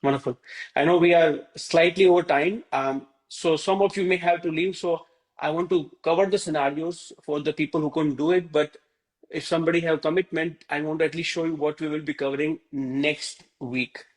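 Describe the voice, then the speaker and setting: A man's voice: fairly high pitch, fairly even intonation, moderate pace. A man from India teaching a Hindi class over a video call, rambling and full of fillers like "you know".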